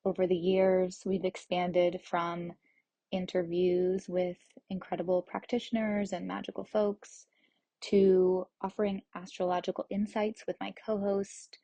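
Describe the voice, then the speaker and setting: A woman hosting a podcast, reading a calm and earnest announcement about the show. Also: on the low side, a little slow, dry recording. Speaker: podcast host.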